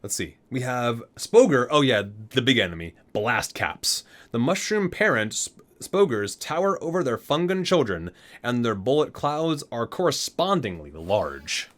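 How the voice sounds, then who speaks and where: Man reading aloud with swooping pitch. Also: very animated, unhurried, fairly bright tone. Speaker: host of a gaming ASMR unboxing video. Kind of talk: ASMR reading aloud with casual asides.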